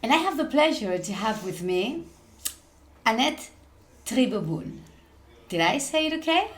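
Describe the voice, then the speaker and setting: A woman's voice: on the high side, big pitch swings, a little slow, fairly bright tone. Woman hosting a leadership podcast. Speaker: podcast host. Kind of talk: interview hosting.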